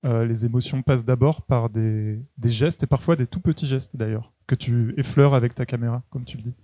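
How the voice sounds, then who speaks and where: A man interviewing a filmmaker, asking long, measured questions with filler pauses. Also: mid-range voice, steady tone, warm tone, dry recording.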